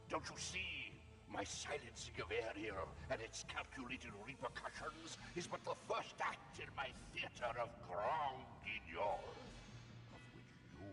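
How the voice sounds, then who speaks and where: An actor playing a grave, cunning, ancient necromancer in a video game, speaking in a theatrical, measured way. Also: male voice, fairly low pitch, creaky, full character voice. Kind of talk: dramatic video game acting.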